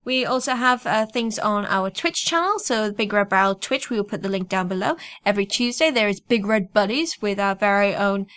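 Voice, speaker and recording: A woman's voice: mid-range voice, lively intonation, brisk, few pauses, fairly bright tone. A woman hosting a podcast, chatting casually through notices and sign-offs. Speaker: podcast host.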